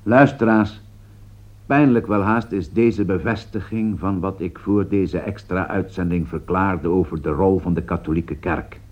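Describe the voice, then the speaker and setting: A man playing a solemn political commentator in a radio drama, speaking in a deliberate, rhetorical way to his listeners. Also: sits low, darkish tone. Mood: grave. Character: grave male radio political commentator addressing listeners amid world crisis. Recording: dull lo-fi old radio recording.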